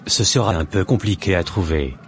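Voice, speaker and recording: A man voicing a deceitful, persuasive false pastor who serves a dark master in a cartoon. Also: deep voice, animated, normal speed, slight background noise. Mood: obedient. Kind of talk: dramatic animated dialogue.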